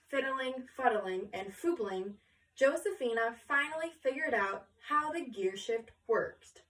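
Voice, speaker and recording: A woman reading a children's story aloud with expressive, deliberate phrasing, pausing to question her listener. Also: fairly high pitch, far from the mic, poor audio.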